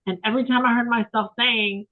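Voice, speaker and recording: A woman's voice: on the high side, steady tone, fairly quick. Woman around sixty on a tinny line. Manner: rambling, reflective, many fillers. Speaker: guest, photographer. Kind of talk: casual interview chat.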